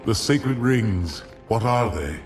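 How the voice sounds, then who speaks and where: A proud alien warrior speaks very low and slowly. He is grave, formal and resolute, measuring out his words like a noble soldier in a video game cutscene, with a loud score underneath. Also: expressive, over music. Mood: solemn questioning. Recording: loud dramatic score behind.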